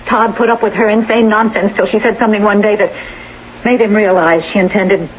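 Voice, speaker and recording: A woman's voice: mid-range voice, fairly even intonation, a little fast, lightly acted. Actress as shrewd, assertive woman, dull radio sound. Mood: triumphant, accusing. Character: shrewd, assertive woman exposing a would-be murderer. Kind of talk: 1980s radio drama acting. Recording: dull radio recording, faint noise.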